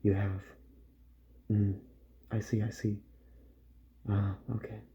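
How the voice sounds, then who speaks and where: A soft-spoken man in an ASMR roleplay, murmuring unhurriedly to the listener as a repair doctor at work, often dropping toward a whisper. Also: fairly low pitch, completely flat delivery, takes it slowly, muffled recording, slight background noise.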